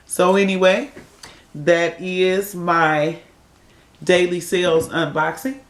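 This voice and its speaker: A woman casually chatting through an unboxing for her viewers, her voice raspy from a cold as she admires each piece. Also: extremely low pitch, slight background noise. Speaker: unboxing vlogger. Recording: echoey room.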